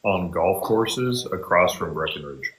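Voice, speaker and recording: A man's voice: deep voice, animated, a little slow, a bit bright. A man in his twenties talking as a guest on a casual call, laid-back and slangy, saying bro a lot. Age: 20s.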